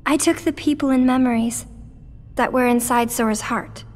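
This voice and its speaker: A woman playing a timid, sorrowful, gentle teenage girl in a game cutscene, soft and hesitant. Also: a little high, steady tone, normal speed, long reverb tail, faint music behind, lightly acted. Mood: remorseful. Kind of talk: animated game cutscene acting.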